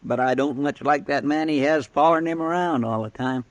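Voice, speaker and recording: An actor playing a chatty, deferential frontier deputy with an in a 1950s radio western. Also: male voice, on the high side, brisk, dry recording, lightly acted. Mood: earnest. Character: folksy, talkative, loyal frontier deputy.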